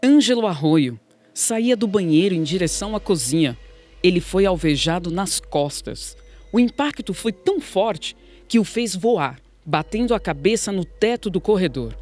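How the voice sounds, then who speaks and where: A woman's voice: lowish voice, animated, moderate pace, a little mellow, soft background music. A woman with a Brazilian accent reading scripted narration for a radio documentary.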